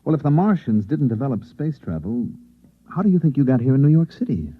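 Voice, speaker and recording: A man's voice: mid-range voice, big pitch swings, a little fast, husky. An actor in an old-time radio drama playing a patient, skeptical newspaperman, soothing and colloquial with small hesitations. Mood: probing. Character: patient, skeptical newspaperman humoring a troubled stranger. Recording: tinny, narrow-band old radio recording.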